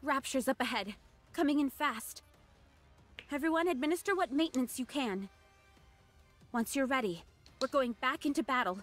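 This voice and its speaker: A woman's voice: high-pitched, expressive, moderate pace, long pauses, fairly bright tone. A woman voicing a confident android squad leader in an anime game, firm and decisive, with music behind her. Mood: determined, urgent.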